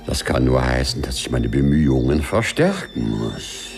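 An actor playing a lovestruck, gentlemanly man with formal, hesitant phrasing in a 1950s German radio play. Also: extremely low pitch, sing-song, normal speed, vocal fry, exaggerated voice. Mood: tender, courtly. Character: lovestruck, gentlemanly man who walks through walls. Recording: 1950s radio recording, loud music behind.

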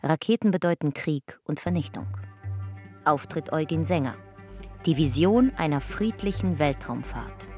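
A woman narrating a radio drama in a measured, clipped documentary style. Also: deep voice, darkish tone. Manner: clipped, measured, reporting in fragments. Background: music.

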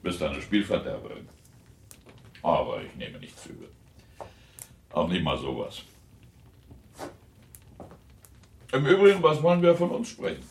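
A wry, genial older husband in a radio play, given to teasing and worrying aloud. The voice is very low and gravelly, rambling along with musing pauses. The recording is roomy, with faint noise behind it. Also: male voice, huge pitch swings, exaggerated voice. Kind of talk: German radio drama acting.

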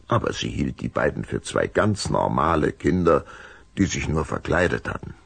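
A man narrating a scripted children's story in a deep, gravelly, husky voice, dramatic and suspenseful, close to the microphone. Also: very animated, normal speed, no echo.

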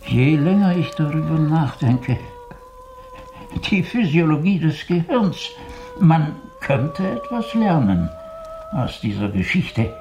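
A man's voice: a little high, sing-song, slow, warm tone, highs cut off, faint music behind, full character voice. An actor playing a learned man in a German radio mystery set in 1914 Vienna, musing and lecturing with emphasis. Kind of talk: German radio play acting. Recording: light background noise.

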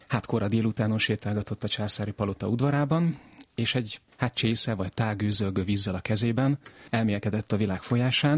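A man's voice: lowish voice, husky, no room sound. A man explaining things as an expert guest in a radio studio. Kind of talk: explanatory interview talk.

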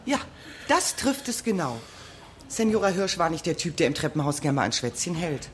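A woman's voice: on the low side, big pitch swings, normal speed. A young mother confides anxiously in a radio crime play. Her talkative voice moves up and down a lot, with faint noise behind it. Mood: confiding. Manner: confiding, worried. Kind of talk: radio drama acting.

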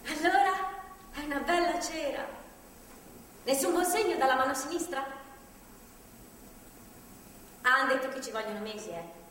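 An actress on a theatre stage playing a chatty, nervously cheerful visitor who rambles with hesitant asides. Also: female voice, high voice, brisk, a bit bright. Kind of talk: live stage acting, monologue-like chatter. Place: theatre stage, picked up from far off. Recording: distant hall recording, echoey, faint noise.

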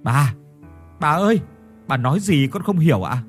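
A man's voice: on the high side, very animated, moderate pace, soft background music. A man voicing a timid young servant girl who answers meekly and politely. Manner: meek, polite, confused.

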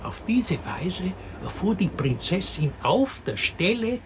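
Wry radio-drama storyteller, unhurried and very expressive, clear background noise. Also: male voice, high-pitched, heavily acted. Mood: wry storytelling. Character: wry, unhurried male teller of an absurd fairy tale. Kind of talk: fairy-tale narration in a radio drama.

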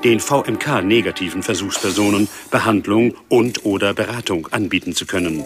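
Actor as male information system, lowish pitch, formal, exact, impersonal, music underneath, radio drama. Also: normal speed, natural voice. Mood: neutral, informative. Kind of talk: scripted explanatory narration in radio drama.

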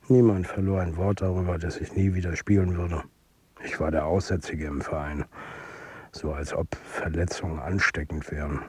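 A troubled football coach who confides his own story in a radio drama. His low voice is mellow and somewhat husky, a family man narrating in the first person. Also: exaggerated voice. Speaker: narrator and lead character.

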